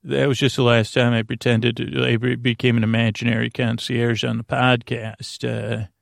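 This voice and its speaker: A man with a gravelly, creaky voice delivers a soothing bedtime monologue as a podcast host. His pitch stays quite flat while he meanders gently, doubling back and stumbling over words. The sound is close and dry. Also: moderate pace, dry recording. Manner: meandering, hesitant, often repeats and trails off mid-word; soothing, lulling. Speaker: host and creator of a sleep podcast. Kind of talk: rambling bedtime monologue, talking gently to the listener.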